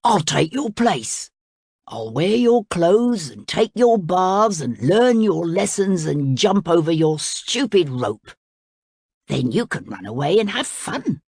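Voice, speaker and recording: A hungry crocodile with too many teeth, voiced by a woman reading a storybook, speaking in a slow, low drawl. The voice stays husky and breathy. The sound is dry and close. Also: very animated, darkish tone, no echo, full character voice. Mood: eager. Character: greedy toothy male crocodile, slow and deep.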